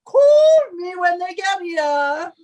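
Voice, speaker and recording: A woman's voice: very high-pitched, sing-song, slow, a little mellow, heavily acted. A woman playing an imperious, scornful, middle-aged British wife who scolds and gives orders in a radio suspense drama. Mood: scornful, commanding.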